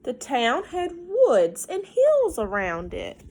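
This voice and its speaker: Lady doing a kids read-aloud, slow and really animated, chatty little asides and questions for the kids. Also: high-pitched. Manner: explains words simply and prompts young listeners with questions. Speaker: teacher reading a picture book aloud to children.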